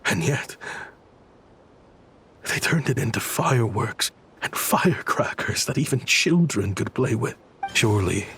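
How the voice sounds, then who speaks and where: A man's voice: medium pitch, a bit bright. A man playing a bitter, guilt-ridden weapons researcher in a video game, with a grave, brooding, dramatic delivery.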